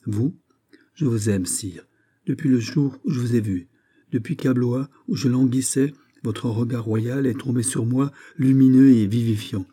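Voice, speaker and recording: A man's voice: mid-range voice, moderate pace, dark tone, breathy edge, no echo. A man voicing a desperate young maiden of the royal court in an audiobook, pleading passionately with breathless exclamations.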